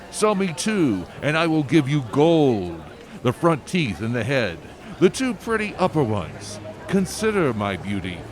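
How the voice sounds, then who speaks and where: An actor playing a traveling dentist who hawks his wares to a street crowd in a loud, coaxing sales patter. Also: medium pitch. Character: smooth-talking traveling dentist peddler, grown man. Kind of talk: amateur radio play acting.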